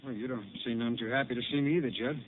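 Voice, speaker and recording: An actor playing an estranged young cowboy, defensive, with a rural drawl, in a 1950s radio drama. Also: male voice, medium pitch, very fast, lightly acted. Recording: phone-narrow old radio transcription with hiss.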